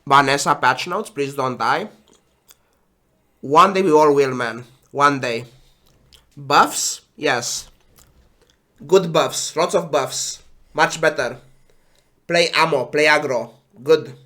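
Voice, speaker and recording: A man who is sick and congested, sighing and talking slowly while casually reading game patch notes on a stream. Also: a little high, pushed and bright. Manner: slow, sighing, trailing off. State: congested with flu.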